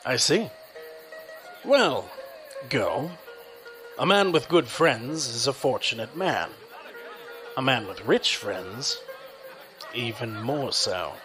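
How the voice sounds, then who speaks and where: Casting: male actor for condescending, menacing businessman crime lord, audio drama, lively intonation, music underneath. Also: mid-range voice, slow pace, long pauses, crowd noise, rough recording. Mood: smug. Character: menacing, smooth-talking crime boss and businessman.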